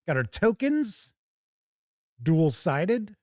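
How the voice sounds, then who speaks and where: A man's voice: sits high, big pitch swings, drawn-out words, darkish tone, dry recording, studio quality. A man hosting a tabletop gaming show, chatting casually and thinking aloud as he gives live first-look commentary.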